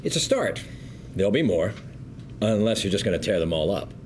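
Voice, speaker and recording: A low, animated, smooth law partner. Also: male voice, fairly quick, slight background noise. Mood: courteous, guarded. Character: smooth, condescending male law firm partner. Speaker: actor at a script table read. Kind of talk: acted script read at a table.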